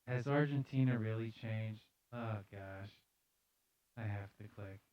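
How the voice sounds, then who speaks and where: A man's voice: on the low side, a little slow, no echo, highs cut off. A man hosting a live news show, casually reading articles aloud with jokey, slangy, sardonic commentary.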